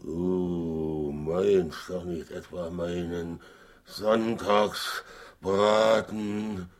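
A man playing a big, good-natured, slow-witted wild beast in a children's radio play, speaking huskily with ponderous hesitation. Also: low-pitched, fairly even intonation. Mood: dismayed.

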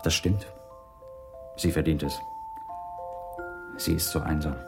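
A big, devoted businessman in his forties in a radio crime drama. His voice is very deep, he explains things earnestly, and music plays clearly behind him. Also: little pitch movement, slow, heavily acted. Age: 40s.

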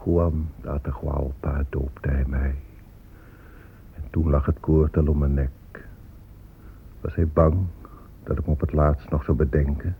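Very deep-voiced, sorrowful native ruler. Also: male voice, level tone, slight background noise. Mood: mournful. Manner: solemn, measured delivery. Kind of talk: radio drama monologue. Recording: muffled phone-like old radio recording.

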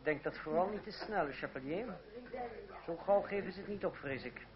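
A man acts a young French stage player of old, proud and ironic, in theatrical radio-play style. His voice sits fairly high and is carefully articulated. The recording is muffled, with a crowd murmuring behind him. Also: normal speed, natural voice. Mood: sardonic, guarded. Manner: ironic, self-controlled, articulate. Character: sardonic young actor-playwright, proud, jealous fiancé in old France. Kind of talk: theatrical radio drama acting.

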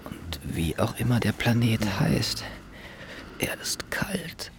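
An actor playing a dazed, dreamy man adrift in time in a radio play, musing softly and often in a murmur. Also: fairly low pitch, big pitch swings, slow pace, in a whisper, heavily acted. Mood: bleak musing. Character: dazed, dreamy, soft-spoken male time-traveller.

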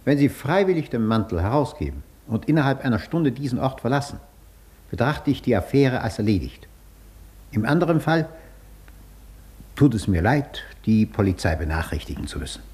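A man acting a suave, sardonic gentleman interrogator in a German radio drama, polite on the surface while probing and mocking. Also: mid-range voice, very animated, normal speed. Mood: cold, mocking.

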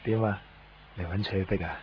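An actor playing a cynical, needling small-time hustler, blunt and sardonic, in a Cantonese radio drama. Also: male voice, on the low side, normal speed, lightly acted. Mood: breezy. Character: cynical, needling male hustler trading goods in Japan. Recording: dull radio recording, background noise.

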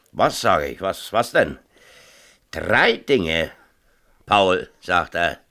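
An actor playing an agitated, self-pitying middle-aged mayor in a radio drama, rambling and insistent in colloquial talk. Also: male voice, medium pitch, sharp tone, heavily acted. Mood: agitated, brooding. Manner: rambling, insistent, peppers talk with tag questions. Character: agitated, self-pitying small-town mayor and exasperated father. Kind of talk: 1970s East German radio drama acting, colloquial dialogue.

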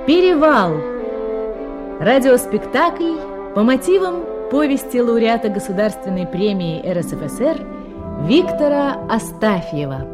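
High, lively woman announcer over music. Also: a little slow. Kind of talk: scripted radio play title announcement.

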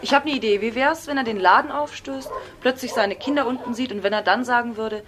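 An actress in a radio drama playing a keen film crew member, talking in a natural, conversational way. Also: female voice, on the high side, fairly quick, noisy background, close to an everyday voice. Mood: eager. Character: eager, idea-filled female film crew member.

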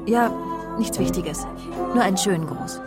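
A woman's voice: medium pitch, moderate pace, loud music behind the voice, natural voice. An actress playing a vain, self-assured woman journalist in a radio crime drama, confiding and imperious as she justifies herself. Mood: composed, breezy.

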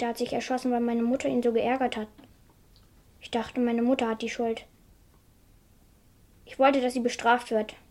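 A woman's voice: a little high, darkish tone, close to an everyday voice. An actress playing a wounded, earnest young girl confessing in short plain sentences in a 1970s radio crime drama.

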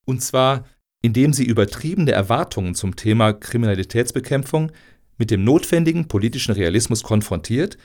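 A man acts a smug spokesman in a satirical radio play. His mid-pitched voice swings in a lively way through long, glib, euphemistic sentences, as if every outrage were routine policy. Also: a little fast, natural voice. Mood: smug, emphatic. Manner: glib, verbose, euphemistic official-speak. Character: smug male lobbyist for tolerating violent crime.

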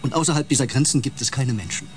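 A man's voice: mid-range voice, fast talker, slight background noise, lightly acted. Casting: male actor as bitter misanthrope empath, radio drama, medium register, sneering and insulting. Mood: cold contempt. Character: hostile, misanthropic male empath on a space expedition. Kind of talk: German radio drama acting.

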